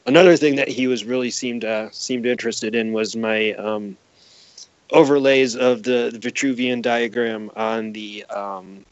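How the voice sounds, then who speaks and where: A man's voice: mid-range voice, steady tone, measured pace, no room sound, lo-fi sound. A man speaking as an interview guest in a casual podcast, explaining earnestly in a rambling way with repeated words.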